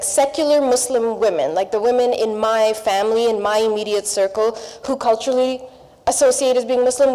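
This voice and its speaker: A woman's voice: on the high side, dark tone. Pakistan-born woman in a reverberant hall. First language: not English. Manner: earnest, reflective, lists points in repeated phrases. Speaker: seminar panelist. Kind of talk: panel discussion remarks.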